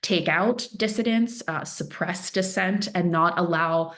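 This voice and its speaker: A woman's voice: on the low side, measured pace, fairly bright tone. Earnest woman presenting a cyber briefing. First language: Farsi speaker. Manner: earnest, emphatic, repeats phrases for stress. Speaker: threat intelligence analyst presenting. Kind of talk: webinar presentation.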